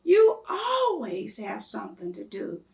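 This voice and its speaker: A woman voicing a caring, inquisitive storybook mother with a homely, gently chiding way of talking. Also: medium pitch, huge pitch swings, unhurried, vocal fry, voice pushed down, heavily acted.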